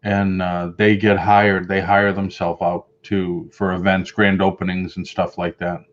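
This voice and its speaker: Middle-aged boston guy rambling on his live stream, lowish voice, kinda dull webcam sound. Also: level tone, measured pace. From Boston area. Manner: rambling, chatty, reads and answers viewer comments. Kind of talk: casual live-stream chat. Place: home room with shelves of collectibles.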